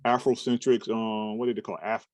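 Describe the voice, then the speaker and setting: A man's voice: lowish voice, a little fast, no echo. A man in his fifties, a talent manager, chatting casually in an interview and telling long, meandering stories full of fillers and restarts. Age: 50s. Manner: rambling, relaxed storytelling with many fillers and restarts.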